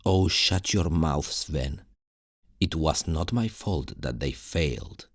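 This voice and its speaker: A man playing a confident, cheeky thief recruiter in a fantasy ASMR roleplay, gravelly and husky, speaking in an unhurried, playful, expressive way. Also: very deep voice, dry recording, clean studio sound, full character voice. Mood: defensive banter. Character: confident, cheeky male thief recruiter showing a newcomer around.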